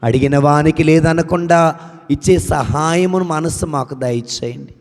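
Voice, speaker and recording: A man's voice: a little high, measured pace, darkish tone. A man leading an intercessory prayer, reciting long lists in a rising and falling cadence. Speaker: prayer leader. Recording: long hall reverb.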